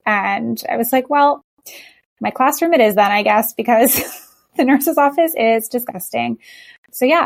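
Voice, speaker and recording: A woman's voice: a little high, gravelly, dry recording. A woman hosting a podcast, chatting casually and sharing personal stories with her guest. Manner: chatty, warm agreement, personal anecdotes. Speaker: podcast host.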